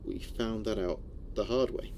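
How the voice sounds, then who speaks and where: A man playing a weary, frightened spaceship crewman recording a log in a sci-fi horror audio drama, speaking gravely in halting, broken phrases with dramatic pauses. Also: lowish voice, background noise, natural voice. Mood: hushed dread.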